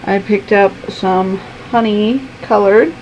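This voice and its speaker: A woman chatting casually to camera in her room, her voice going hoarse, with plenty of ums. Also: mid-range voice, slow pace, highs cut off, a little hiss or hum. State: losing her voice. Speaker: vlogger. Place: her own room at home.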